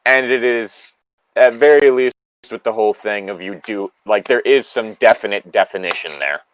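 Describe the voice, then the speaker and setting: A man's voice: on the low side, moderate pace, highs cut off, slight background noise. A man in casual banter as a show co-host, rambling, swearing and correcting himself as he goes. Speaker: co-host of a story-reading show.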